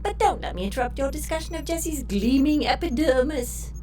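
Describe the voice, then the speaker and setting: A woman's voice: high voice, expressive, a little fast, a little mellow, distant mic, slight background noise. A woman acting a sarcastic, easily slighted female spaceship computer in a sci-fi comedy audio drama. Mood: miffed, sarcastic. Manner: sarcastic, petulant.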